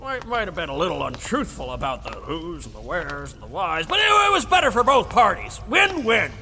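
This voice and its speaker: Gravelly old warrior, blustery and defensive, swinging wildly in pitch, long cavern reverb. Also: male voice, wildly swooping pitch, a little fast, bright, forceful tone, full character voice. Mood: blustery, insistent. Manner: blustery, rambling storytelling, stammers when challenged. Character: gruff, defensive old male war veteran and dragon-slayer, Abraxas. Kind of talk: audio drama acting. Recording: reverberant hall with music behind.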